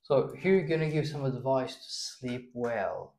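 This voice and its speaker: A man's voice: medium pitch, expressive, unhurried, a little mellow, slight background noise. A young man giving a spoken English test, patiently prompting and correcting a student's answers in a small classroom. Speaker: English teacher.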